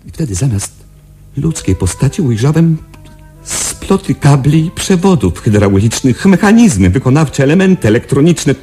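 An actor playing a shaken young space patrol pilot, confiding his story in a halting, dramatic radio-play delivery. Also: high-pitched, a little mellow, exaggerated voice. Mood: agitated, horrified.

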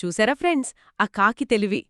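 A woman co-hosting scripted children's storytelling in dialogue, with an expressive delivery. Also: mid-range voice, a little fast, dry recording, clean studio sound. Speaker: co-host storyteller.

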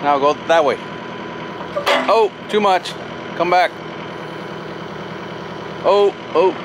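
A man's voice: high voice, slow pace. A man chatting casually and jokingly while doing hands-on machinery work outdoors. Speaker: vlogger working on machinery. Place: outdoor gravel yard beside a metal shop building. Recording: noisy outdoor camera audio, machinery hum.

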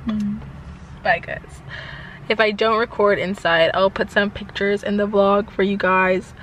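A woman in her twenties talking to a handheld camera in a casual vlog, chatty and hoarse from losing her voice. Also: medium pitch, level tone, measured pace, a bit bright, slight background noise. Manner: chatty, says "like" and "oh my gosh" often. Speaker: vlogger. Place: bedroom, then inside a car. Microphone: handheld camera at arm's length.

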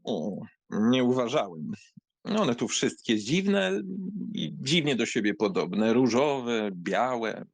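A man's voice: medium pitch, sing-song, slow, dry recording, full character voice. A man playing a bored young aristocratic count in an audiobook drama, languid and dry, with hesitant hums. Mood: bored, indifferent. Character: bored, nonchalant young aristocrat count humouring his aunt.

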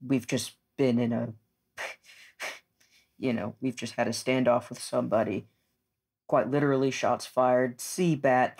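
A man playing a tabletop game, casually and deliberately thinking aloud as he describes his character's actions. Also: medium pitch, steady tone, creaky, a little mellow.

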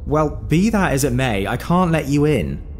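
An actor playing a powerful, officious gatekeeper in an audio drama, teasing and condescending with mock politeness. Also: male voice, fairly high pitch, very animated, moderate pace, slight background noise. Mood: exasperated.

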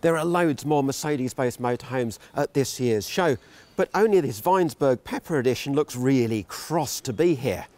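A man's voice: mid-range voice, animated, moderate pace, a little mellow, slight background noise. An older man presenting a walkaround of motorhomes at an indoor exhibition hall, with wry, dry humour. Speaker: motorhome reviewer.

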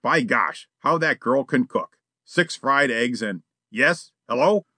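A man voicing a relaxed, slangy burglar who chats casually and cheekily in an audiobook reading. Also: medium pitch, slow, no room sound, heavily acted. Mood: dreamy.

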